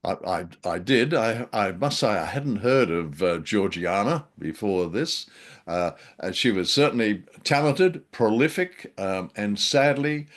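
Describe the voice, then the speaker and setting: Low-voiced man, halting podcast talk. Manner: hesitant, many ums and uhs, self-deprecating humor. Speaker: podcast co-host. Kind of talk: casual chat about a radio drama.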